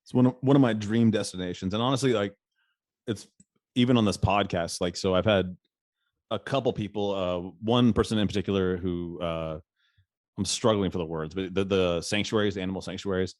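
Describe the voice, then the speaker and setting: A man's voice: sits low, a little fast, a little mellow, dry recording, clean studio sound. A man hosting a podcast, talking in a loose, rambling way and laughing often. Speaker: podcast host. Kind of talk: casual interview chat.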